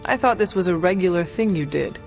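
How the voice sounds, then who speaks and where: A woman playing a curious ancient warrior in a video game, speaking in a formal, literal, measured manner. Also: lowish voice, creaky, faint music behind. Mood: puzzled, measured. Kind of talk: video game cutscene acting.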